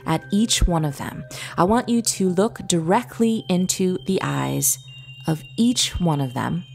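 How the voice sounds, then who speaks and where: A woman with a slightly low voice guides a meditation over clearly present background music. She speaks very slowly and gently, leaving long pauses between soothing instructions.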